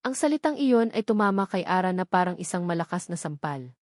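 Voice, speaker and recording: A woman with a Filipino accent narrating a scripted love story in a measured, expressive, dramatic way. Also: fairly low pitch, no room sound, studio quality.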